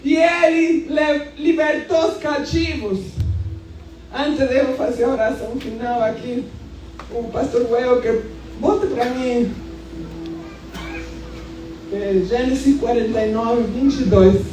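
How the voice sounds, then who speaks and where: A woman in her fifties with a Brazilian accent preaching as a pastor, moving into colloquial, animated and emphatic personal storytelling. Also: a little high, slow, pauses often. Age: 50s. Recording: echoey hall, distant sound, music underneath.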